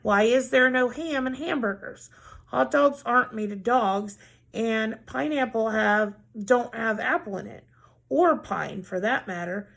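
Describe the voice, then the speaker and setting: A woman's voice: on the high side, a little slow, gravelly, fairly bright tone, heavily acted. Quirky, questioning male schoolteacher read by a woman. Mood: puzzled, insistent. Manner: questioning, emphatic, rhetorical. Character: quirky male schoolteacher puzzling over the English language.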